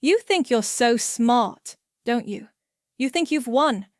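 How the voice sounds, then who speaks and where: A woman's voice: fairly high pitch, animated, slow, no room sound, clean studio sound. A woman reading as an angry, blaming husband. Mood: demanding. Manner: angry, pressing, raised voice. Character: furious middle-aged husband, pleading and blaming.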